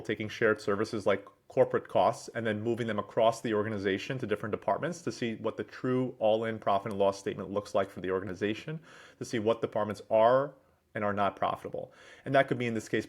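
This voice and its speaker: A man with a fairly low-pitched voice walks through a piece of software on a screen share. He speaks as a presenter, explaining each step in a businesslike, wordy manner. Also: steady tone, fast talker. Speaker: presenter, managing director at a software consultancy.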